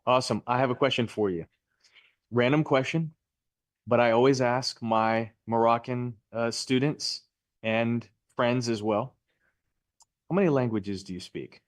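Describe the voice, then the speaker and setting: A man's voice: medium pitch, unhurried, no echo. Talkative guy teaching a real estate class, upbeat, super dry mic sound. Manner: upbeat, chatty, asks students questions. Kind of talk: live class teaching and chat.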